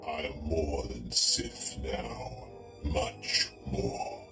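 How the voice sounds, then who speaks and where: Evil cyborg sith lord, super low haughty menacing voice, game villain, music behind. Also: male voice, steady tone, drawn-out words, exaggerated voice. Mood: gloating menace. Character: arrogant cybernetic Sith lord, cold male villain. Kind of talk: video game villain acting.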